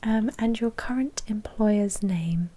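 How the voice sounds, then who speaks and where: A woman playing a chatty, politely officious vampire bank manager in a whispered, breathy ASMR roleplay. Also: medium pitch, slow, mellow. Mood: polite, businesslike.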